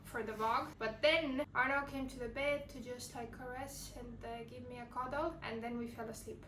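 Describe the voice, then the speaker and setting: A woman's voice: on the high side, steady tone, moderate pace. Chatty young Estonian woman, echoey room. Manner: chatty, rambling with fillers and self-corrections. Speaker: vlogger. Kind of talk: casual vlog chat. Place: cafe. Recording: echoey with loud background noise.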